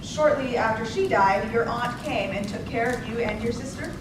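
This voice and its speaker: High-voiced woman interviewer in very echoey room. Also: normal speed. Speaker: interviewer in a theatre presentation. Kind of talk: staged oral-history interview. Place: indoor presentation space at a public library. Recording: very echoey, distant, noisy room recording.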